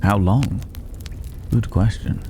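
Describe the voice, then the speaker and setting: A man playing a mysterious, courteous stranger in an intimate fantasy ASMR roleplay, speaking softly and pensively in formal, old-fashioned phrases, sometimes near a whisper. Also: on the low side, slow pace, slight background noise, voice pushed up. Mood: reflective, intrigued. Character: mysterious, courteous male stranger living in a dragon's cave.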